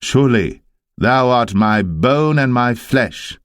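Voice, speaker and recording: A man's voice: mid-range voice, animated, takes it slowly, fairly bright tone, dry recording, studio quality, voice pushed up. A man voicing a shrewd older patriarch in a dramatised scripture reading, with emphatic, stately archaic speech. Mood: warm welcome. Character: Laban, shrewd older male patriarch bargaining with kin.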